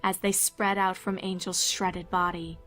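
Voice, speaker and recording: Woman, horror story narrator, lowish pitch, controlled even intonation, dramatic scripted reading, faint background music. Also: a little slow.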